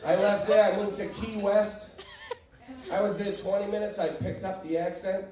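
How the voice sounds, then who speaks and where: A man's voice: high-pitched, steady tone, normal speed, a little mellow. A man from Boston with a Boston accent doing a stand-up comedy set, telling brash, punchy stories and bantering with the audience. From Boston, USA. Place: comedy club stage. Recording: echoey, distant club recording. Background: crowd laughter and noise.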